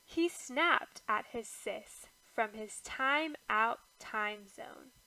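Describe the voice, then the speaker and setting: Bright-voiced woman reading slowly, storybook style. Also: fairly high pitch, creaky, dry recording, poor audio. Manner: expressive, measured rhythmic verse delivery. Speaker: storybook reader. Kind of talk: scripted read-aloud of a rhyming children's picture book.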